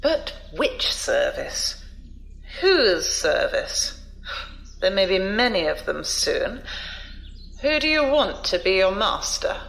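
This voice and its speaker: Slow, imperious older noblewoman. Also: medium pitch, very animated, vocal fry, fairly bright tone, heavily acted, rough recording. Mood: cool, probing. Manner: measured, deliberate, condescending. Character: shrewd, imperious older noblewoman, cryptic and probing. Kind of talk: science fiction audio drama acting.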